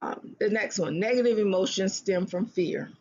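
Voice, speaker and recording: A speaker giving earnest relationship advice in a self-help talk, often restarting phrases and slowing down to stress a point. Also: medium pitch, darkish tone, dull audio. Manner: earnest, repeats and restarts phrases, slows for emphasis.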